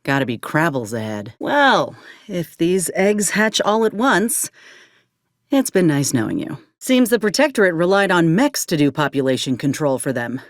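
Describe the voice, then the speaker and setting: A woman's voice: lowish voice, very animated, normal speed, no room sound, studio quality. A woman playing a wary, plain-spoken former combat medic turned mercenary in a video game, with folksy frontier phrasing. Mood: watchful, dry. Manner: folksy, drawling frontier speech with ain't and folk. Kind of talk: video game character dialogue.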